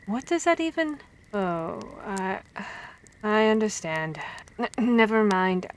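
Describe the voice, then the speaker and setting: A woman performs a female vampire hunter for an ASMR roleplay. She is expressive and easily exasperated, stammering as she goes. Her scolding is that of a caring comrade, voiced at a mid female pitch. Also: slow pace, a little hiss or hum. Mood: startled, flustered.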